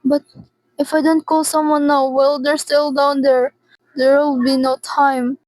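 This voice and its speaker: A frantic invalid woman played by a teenage girl in a radio play, with a high voice and slow, halting delivery. She is anxious and imperious, repeating herself as she makes demands. The sound is close and dry. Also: little pitch movement, a little mellow, no echo, low-quality recording. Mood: fearful, upset. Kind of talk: radio drama acting.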